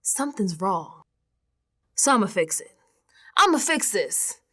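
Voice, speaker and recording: An actress playing a troubled, defiant young American woman who is unravelling, confiding her story in a dramatic radio monologue that swings from hushed to emphatic. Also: mid-range voice, sing-song, measured pace, exaggerated voice. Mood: brooding, determined. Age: young adult.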